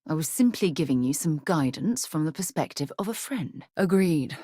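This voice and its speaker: A woman playing a principled, earnest space explorer and leader in a video game, a husky voice and articulate, formal delivery. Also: sits low, lively intonation, moderate pace, dark tone, no room sound, clean studio sound. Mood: composed, reflective. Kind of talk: scripted video game companion dialogue.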